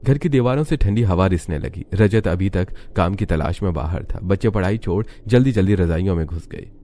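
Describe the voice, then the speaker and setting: A man's voice: low-pitched, a little fast, dark tone, slight background noise. A man reading scripted story narration as the narrator.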